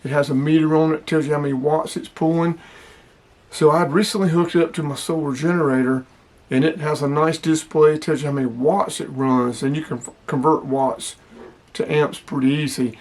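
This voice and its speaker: Man with a slightly high-pitched voice. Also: steady tone, normal speed, a little mellow. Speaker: hobby radio vlogger. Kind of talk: casual product demonstration.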